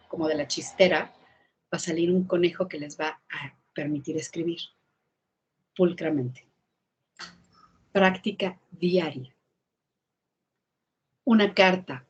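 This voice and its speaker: Breathy woman in her fifties, emphatic. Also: deep voice, poor audio. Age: 50s. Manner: lively, emphatic, repeats key words. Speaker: guest speaker on writing. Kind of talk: online class talk. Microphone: video call.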